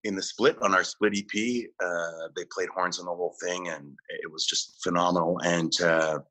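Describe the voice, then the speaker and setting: A male musician answering interview questions, halting often with fillers and restarts. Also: low-pitched, fairly even intonation, pushed and bright, low-quality recording. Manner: hesitant, many fillers and restarts. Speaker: musician guest.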